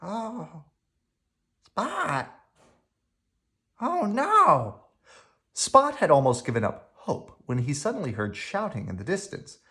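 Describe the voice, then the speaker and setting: Animated, slow-paced children's story reader. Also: mid-range voice. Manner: dramatic, with playful sound words. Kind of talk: animated read-aloud storytelling.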